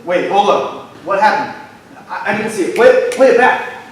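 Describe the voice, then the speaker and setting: An actor plays a curious, teasing young man in casual stage dialogue. His voice sits a little high, heard from afar in a very echoey hall with faint noise. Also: expressive, moderate pace, far from the mic.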